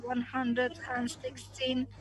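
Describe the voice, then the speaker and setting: A woman's voice: high-pitched, monotone, slow pace, a crowd in the background, low-quality recording. A woman giving live simultaneous interpretation of a conference talk, delivering it phrase by phrase with frequent self-corrections. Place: conference summit.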